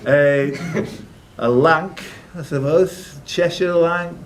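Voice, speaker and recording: A man's voice: fairly high pitch, fairly even intonation, takes it slowly, slight background noise. Man hosting a live quiz. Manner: jokey, teasing asides to contestants. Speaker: quiz host and question reader. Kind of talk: live quiz hosting, reading questions with banter.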